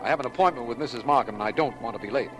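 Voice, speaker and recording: An actor playing a genial but skeptical district attorney in 1940s radio drama style. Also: male voice, medium pitch, racing, lightly acted. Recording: noisy vintage 1940s radio recording.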